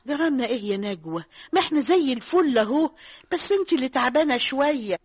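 A woman's voice: high voice, quick pace. An actress playing a fussing, dramatic older Egyptian mother and grandmother in a radio drama. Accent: Egyptian Arabic. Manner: lively and dramatic, calls her daughters pet names. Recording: music behind the voice.

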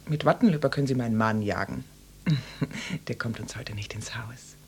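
An actress playing a flustered, flirtatious housewife in her mid-thirties in a radio play, hesitant and stammering, with breathy asides. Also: very deep voice, moderate pace, full character voice. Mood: coy, flirtatious. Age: 30s.

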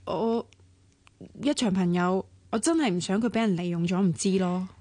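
A woman's voice: medium pitch, moderate pace, dark tone, no room sound, close to an everyday voice. An actress with a Hong Kong accent playing an earnest, worried young woman in a radio drama, with a pleading way of talking. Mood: pleading, wistful. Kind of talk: Cantonese radio drama acting.

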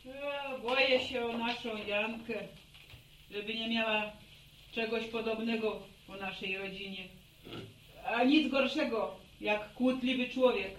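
A woman's voice: a little high, steady tone, measured pace, lightly acted. An actress playing a fretful, plain-spoken middle-aged village mother in a radio drama, fussing and exclaiming. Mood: worried. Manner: exclaiming, fussing, sighing over family. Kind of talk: naturalistic radio drama acting. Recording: roomy, distant radio recording, faint noise.

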